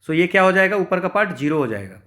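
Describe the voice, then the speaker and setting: Higher-pitched Indian man teaching maths. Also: sing-song, quick pace, a bit bright. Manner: explanatory, with frequent check-in tags. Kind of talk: informal exam-prep lesson, working through problems step by step.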